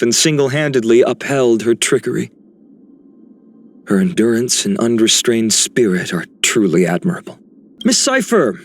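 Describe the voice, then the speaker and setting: An actor voicing a formal, courteous young warrior in a video game. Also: male voice, medium pitch. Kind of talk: video game character voice lines.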